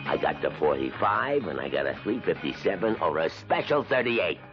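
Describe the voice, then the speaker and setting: A man's voice: sits low, very animated, moderate pace. A man playing an eager, dim, fawning small-time thug in a radio drama, effusive and slangy. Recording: lo-fi radio recording with loud music.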